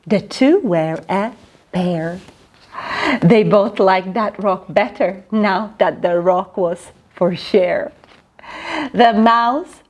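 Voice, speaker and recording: A woman's voice: mid-range voice, darkish tone. A woman reading a children's storybook aloud dramatically, speaking directly to young listeners. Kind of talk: expressive storybook read-aloud.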